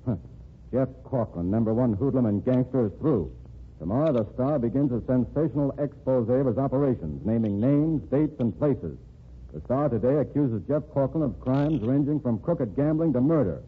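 A man's voice: mid-range voice, animated, moderate pace, husky. An actor playing a blunt, threatening male gangster boss in an old radio drama, talking tough in clipped street slang. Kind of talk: 1940s radio acting. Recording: tinny old radio broadcast with hiss.

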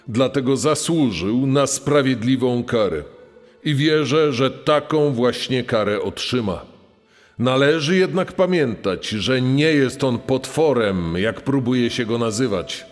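A defence lawyer, played by a man, argues earnestly and in measured phrases in a true-crime dramatization. His mid-pitched voice carries a long courtroom-like reverb over faint music. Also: close to an everyday voice.

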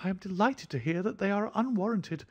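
A man voicing a jittery, fussy little man of about thirty, an anxious hypochondriac with a thin, high voice. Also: animated, normal speed, muffled recording. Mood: fretful. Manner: fidgety, effusive, fretful.

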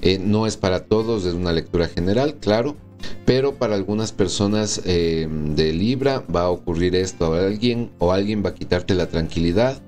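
A man's voice: low-pitched, moderate pace, soft background music. A man in his forties giving a weekly tarot horoscope, often checking in with the listener through short tag questions. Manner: warm reassuring, checks in with "¿sí?" and "¿ok?". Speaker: tarot reader. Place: small indoor room with plants and wood blinds.

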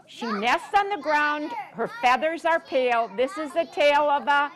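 A woman's voice: high-pitched, animated, measured pace. An American woman in her sixties reading to young children at story time in a teacherly, sing-song way, often prompting with questions. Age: 60s. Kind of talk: reading a picture book aloud to young children, interactive. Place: carpeted library program room, indoors.

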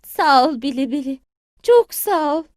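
A woman's voice: high-pitched, expressive, a little slow, no echo, clean studio sound, voice pushed up. A woman voicing a kindly, tender old grandmother hen in a children's cartoon, speaking affectionately. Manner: affectionate, blessing and fussing over children.

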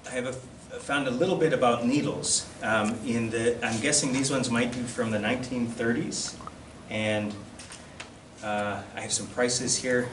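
A man giving an informal slide-show lecture as a presenter, in a hesitant, halting and self-deprecating way. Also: mid-range voice, fairly even intonation. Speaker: presenter at a collectors' society. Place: classroom, indoors. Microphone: camera across the room. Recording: distant mic, echoey room, loud background noise.

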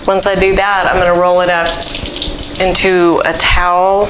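Female craft vlogger, slightly low register, casual and unhurried, thinking aloud, noticeable household noise behind. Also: little pitch movement. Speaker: craft vlogger demonstrating fleece washing. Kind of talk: casual hands-on tutorial chat. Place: home kitchen. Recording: clear background noise, household ambience.

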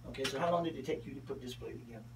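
A man's voice: mid-range voice, rapid-fire, background noise. A man hosting a casual radio interview. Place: small radio studio, indoors. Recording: distant from the microphone.

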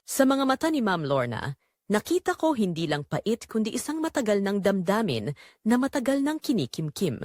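Female narrator for emotional first-person audio drama, expressive melodic range, dry studio-like sound. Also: medium pitch, normal speed, dry recording.

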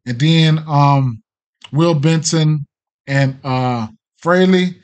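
A middle-aged man with an American accent and a slightly higher-pitched voice. He talks like a casual sports betting streamer, thinking out loud and trailing off as he goes. His delivery is loose, slangy and peppered with profanity. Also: drawn-out words, smooth. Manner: slangy, profane, hesitant and rambling. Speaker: sports betting show host. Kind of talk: casual off-the-cuff livestream betting picks.

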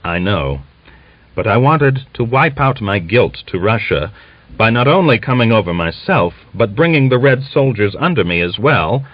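A man's voice: lowish voice, no echo. A man voicing an earnest, anxious, formal army officer in an audiobook novel. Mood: earnest, persuasive. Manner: formal, emphatic, persuasive. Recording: dull lo-fi audiobook recording.

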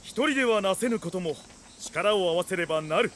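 An actor playing a zealous, righteous young samurai strategist in a game, declaiming theatrically and with grand ideals. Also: male voice, high-pitched, brisk, a bit bright, slight background noise. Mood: confident. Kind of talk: Japanese samurai game cutscene acting.

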